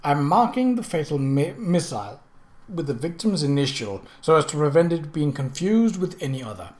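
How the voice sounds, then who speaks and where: Gentleman sleuth, voiced by a male narrator: slightly high-pitched, analytical, formal and self-assured, methodical audiobook delivery. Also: sing-song, moderate pace. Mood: explanatory. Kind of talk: audiobook character dialogue.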